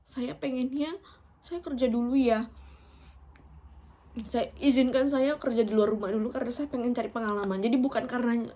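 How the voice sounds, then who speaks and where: A woman's voice: fairly high pitch, a little mellow. A woman in her thirties from Indonesia, talking directly to her viewers in an emotional, personal vlog, often breaking into laughter. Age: 30s. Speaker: vlogger.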